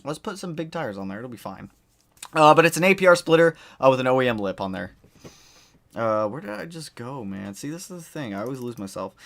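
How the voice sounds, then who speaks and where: A man's voice: mid-range voice, very animated, fairly quick, creaky, fairly bright tone. A man hosting a casual livestream, chatting with viewers and rambling from one topic to the next. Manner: rambling, jumps between topics. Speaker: livestream host. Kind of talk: casual chat answering viewers.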